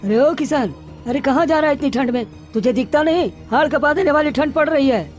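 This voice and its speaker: A woman puts on a bossy village chief for a cartoon tale. He is domineering and sly, hectoring in a high-set voice over faint music. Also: brisk, natural voice. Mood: bossy, warning. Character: bossy scheming male village headman.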